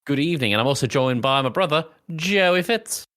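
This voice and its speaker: A man's voice: fairly high pitch, lively intonation, brisk, fairly bright tone. A man hosting a casual film discussion podcast in a chatty, jokey way. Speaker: podcast host.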